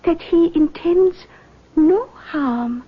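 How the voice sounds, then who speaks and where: A solemn woman medium speaks very slowly in a high voice, pausing theatrically between phrases. She is mysterious and commanding yet soothing, in a muffled vintage radio drama recording. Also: exaggerated voice. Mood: hushed, intent. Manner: slow, halting, theatrical phrasing. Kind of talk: 1970s radio drama acting.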